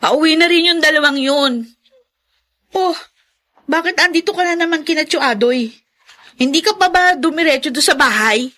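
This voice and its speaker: High, bright inquisitive little sister. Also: female voice, moderate pace, long pauses. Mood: questioning. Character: young younger sister, inquisitive. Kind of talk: radio drama acting.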